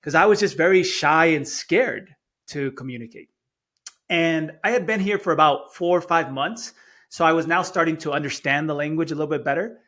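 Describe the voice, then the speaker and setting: A man's voice: on the high side, normal speed, a bit bright. Chilean-born man telling a story. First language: Spanish. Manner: earnest, repeats words when starting phrases. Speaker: guest speaker. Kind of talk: storytelling in an interview.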